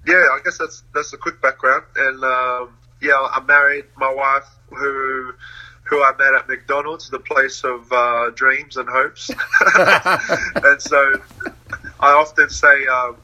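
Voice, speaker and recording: A man's voice: medium pitch, little pitch movement, takes it slowly, bright, forceful tone, poor audio. A young man from New Zealand, talking casually as an interview guest, laughing often between hesitant fillers.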